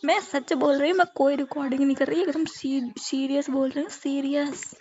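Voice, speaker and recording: A woman's voice: high voice, fairly even intonation, fairly quick, darkish tone. A young woman talking casually with her partner on a phone call. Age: young adult. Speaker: girlfriend making a prank call. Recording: dull phone call recording, faint noise.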